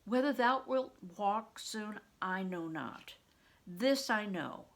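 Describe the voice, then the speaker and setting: A kindly old-world monk, as a woman reader plays him, patient and instructive. The storybook voice is gravelly and measured, with archaic turns of phrase. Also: mid-range voice, animated. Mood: gentle, encouraging.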